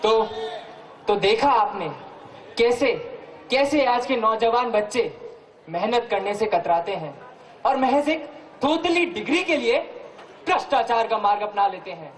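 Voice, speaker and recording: High-pitched, slow, solemn stage narrator, played by a man. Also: expressive, low-quality recording. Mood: grave, dramatic. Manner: declamatory, repeats words for dramatic weight. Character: solemn, earnest male narrator rallying against corruption. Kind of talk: theatrical Hindi stage narration with rhymed verse. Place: live stage performance. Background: loud music.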